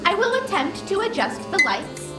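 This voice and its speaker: A naive, literal-minded AI computer with a girlish avatar, voiced by a woman. The voice sits very high and swoops about, with music playing behind it. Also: big pitch swings, normal speed. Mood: formal. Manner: formal, childlike, literal-minded. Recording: roomy echo.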